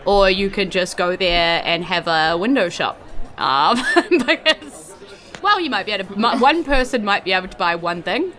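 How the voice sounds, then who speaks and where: A woman with a New Zealand accent narrating a tabletop game as game master, improvising in a chatty, animated way. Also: medium pitch, moderate pace. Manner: animated, conversational.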